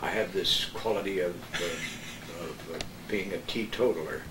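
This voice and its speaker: An older man telling anecdotes casually and haltingly, often searching for his words, as a panel guest. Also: deep voice. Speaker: panel guest, veteran local broadcaster. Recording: echoey room, distant mic, clear noise.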